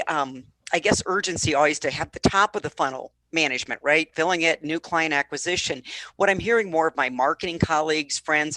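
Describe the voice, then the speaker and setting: A woman's voice: low-pitched, fairly bright tone, no room sound, rough recording. A woman speaking as an expert guest and sales coach, giving conversational advice on an online call.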